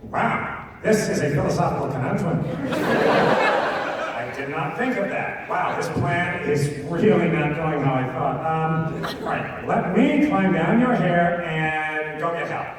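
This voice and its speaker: A man's voice: fairly high pitch, animated, slow, far from the mic. A man playing an earnest, bumbling, lovestruck prince with hesitant comic asides in a live fairy-tale reading. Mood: baffled. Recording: echoey convention hall from afar, loud crowd.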